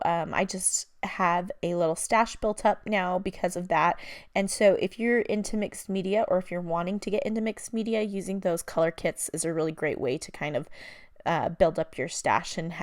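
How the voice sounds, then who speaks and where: A woman in her thirties talks through her craft project in a relaxed, chatty voice-over, wandering off now and then and filling gaps with "um" and "uh". Her voice sits a little low and sounds slightly hoarse from a lingering cold. The recording is close and dry, with no room sound. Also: no room sound. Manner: rambling and chatty, with many fillers. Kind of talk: casual voice-over narration of a crafting video.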